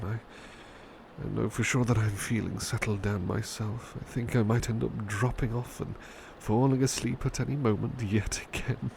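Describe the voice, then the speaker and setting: A man playing a devoted, tender butler in an intimate ASMR roleplay, speaking in a hushed, sleepy, halting voice that often slips into whispers. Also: fairly low pitch, gravelly, noisy background. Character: devoted, tender male butler comforting a beloved at bedtime.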